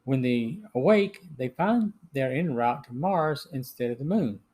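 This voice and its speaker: A male podcast host reads prepared narration at a middling pitch. His delivery is measured and a little halting, as he works through a plot summary. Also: expressive, mellow, slightly rough.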